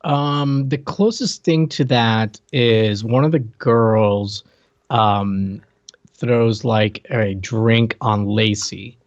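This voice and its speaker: A man's voice: fairly low pitch, drawn-out words, no echo, lo-fi sound. A man chatting casually as a podcast co-host, blunt and teasing in his delivery. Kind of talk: casual chat, TV show recap.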